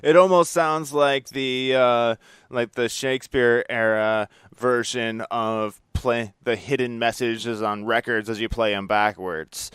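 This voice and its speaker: A man with a smooth, clear, mid-pitched voice hosts a casual interview. He sounds easygoing and agreeable, recorded close and dry with no room echo. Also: fairly even intonation, takes it slowly, a bit bright. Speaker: podcast host.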